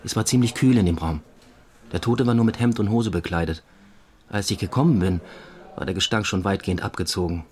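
A man playing a police investigator in an audio drama, reporting in a factual, measured, dry-witted manner. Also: low voice, darkish tone, close to an everyday voice. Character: matter-of-fact male police investigator giving a case report. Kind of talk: German audio drama dialogue.

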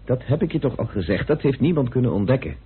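A man's voice: mid-range voice, animated, quick pace, breathy edge. An actor playing an earnest, questioning male investigator in a vintage Dutch radio mystery, on a muffled old recording. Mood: doubtful, reasoning. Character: earnest male investigator following up a murder lead.

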